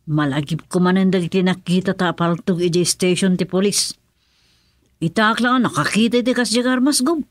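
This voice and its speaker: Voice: medium pitch, fairly quick, no echo, studio quality, close to an everyday voice. An actor playing a respectful aide in an Ilocano radio drama. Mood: reporting.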